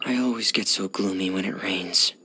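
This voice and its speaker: A man playing a shy, gloomy teenage boy diver in video game voice lines, speaking softly and hesitantly in a hushed, breathy voice. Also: low-pitched, moderate pace, full character voice. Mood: gloomy, gentle. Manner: hesitant, reserved, subdued.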